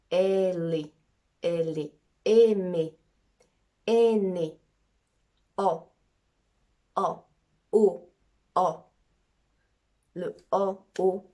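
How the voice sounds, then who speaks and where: A young Brazilian woman in her twenties gives a relaxed, chatty language lesson, with a Brazilian Portuguese accent. She talks at an unhurried pace in a lowish voice and repeats sounds slowly to demonstrate them. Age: 20s. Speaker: amateur language teacher. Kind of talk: casual video lesson.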